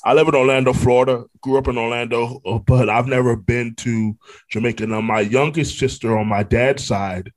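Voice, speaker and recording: A man's voice: mid-range voice, steady tone, moderate pace. A man from Brooklyn, New York, hosting a podcast, asking questions in a friendly, rambling, casual way. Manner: friendly, rambling, many restarts. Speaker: podcast host. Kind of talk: casual interview chat.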